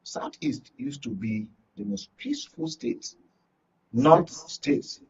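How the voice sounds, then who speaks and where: A man's voice: mid-range voice, sing-song, slow pace, gravelly, darkish tone, breathy edge. A Nigerian-accented man speaking as a guest analyst on a live political panel, emphatic and repeating words for stress. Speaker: studio guest, journalist and media analyst. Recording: muffled, distant-mic broadcast audio.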